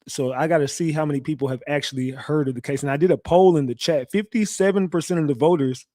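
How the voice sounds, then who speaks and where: A young man with a slightly raspy, recovering voice, hosting a casual live stream and talking loosely to his chat with slang and lots of repeats. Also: a little high, quick pace, warm tone, dry recording, studio quality. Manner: loose, repetitive, talks straight to chat with slang. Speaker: live-stream true crime host. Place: home streaming setup.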